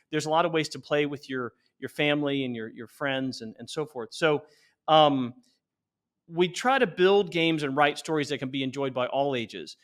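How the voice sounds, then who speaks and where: A man in his forties speaking as an interview guest, earnestly explaining and pitching in a conversational way, often restarting his sentences. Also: fairly bright tone. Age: 40s. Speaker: interview guest, company founder.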